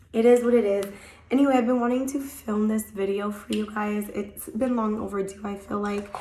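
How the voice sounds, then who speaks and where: Hoarse young woman, chatty vlogger, rambling, echoey room at home, mid pitch. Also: a little mellow, a little hiss or hum. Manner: chatty, rambling, many "like" fillers. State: hoarse from a cold, voice coming back. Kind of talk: casual chat to camera.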